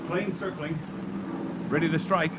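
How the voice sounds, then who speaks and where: A male actor plays an army bomber pilot reporting under fire in a 1930s radio drama. His delivery is clipped and military, in a higher male voice. The recording is muffled and heavily noisy. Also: steady tone, unhurried, natural voice. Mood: tense, controlled. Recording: dull lo-fi old broadcast, loud noise.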